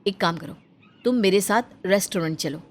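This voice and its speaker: A woman voicing an exasperated, scornful urban elder sister-in-law who scolds and bosses in an animated family story. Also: medium pitch, normal speed, natural voice. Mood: curt. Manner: scolding, bossy, emphatic.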